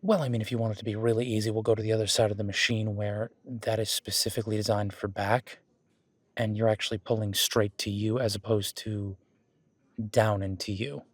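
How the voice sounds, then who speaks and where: A man's voice: on the low side, level tone, brisk, dry recording. A man playing a friendly, patient werewolf boyfriend who coaches a workout in a casual, instructive audio roleplay. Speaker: gym training coach in roleplay.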